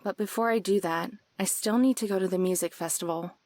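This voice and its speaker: A woman playing a steady, subdued young drifter in a visual novel, measured and matter-of-fact. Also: medium pitch, dry recording, close to an everyday voice. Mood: serious, resolved.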